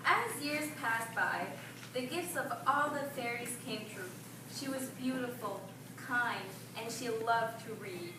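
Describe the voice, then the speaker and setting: A high-pitched woman narrates a children's puppet show in an instructive way. She sounds distant in a very echoey room. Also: expressive, slow pace. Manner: instructive, prompts listeners with questions. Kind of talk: storytelling to young children. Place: public library event room. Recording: echoey room from far off, noisy.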